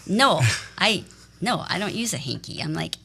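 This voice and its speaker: Woman radio host, lowish voice, animated laughing storyteller. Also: normal speed, gravelly, breathy edge. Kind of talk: casual chat, telling stories.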